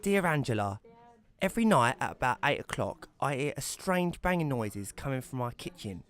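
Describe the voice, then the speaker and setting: A man's voice: mid-range voice, very animated, normal speed, voice pushed up, close to an everyday voice. A man voicing an earnest, anxious woman pleading for help in a letter, in an audio drama. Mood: worried, pleading. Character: earnest, anxious woman writing to agony aunt.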